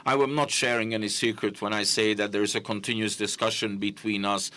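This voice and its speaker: A man giving simultaneous interpretation at a press conference, halting, with frequent fillers and self-corrections. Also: fairly low pitch, little pitch movement, bright, forceful tone.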